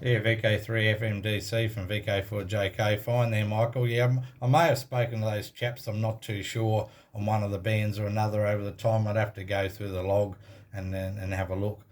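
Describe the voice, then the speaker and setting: Flat-pitched Australian man, amateur radio operator. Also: a little fast. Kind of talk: casual ham radio contact.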